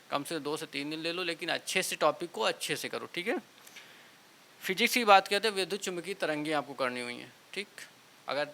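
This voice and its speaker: A man's voice: fairly high pitch, bright, forceful tone. A man giving informal exam-prep advice to students, with frequent check-in tags in a colloquial manner. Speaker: exam coaching teacher and channel host. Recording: clear background hiss.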